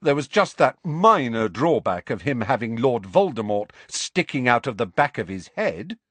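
Guy doing mouthy teen boy, loud, sarcastic n defiant, husky, storybook voice. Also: mid-range voice, sing-song, normal speed, dry recording. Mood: angry sarcasm. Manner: loud, blunt. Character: angry, sarcastic, defiant teenage schoolboy.

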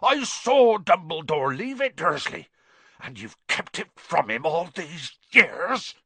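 A man voicing a huge gruff giant with a fierce but kindly temper, booming and growling in rustic dialect, in an audiobook story. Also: huge pitch swings, moderate pace, a bit bright, dry recording, heavily acted. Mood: furious.

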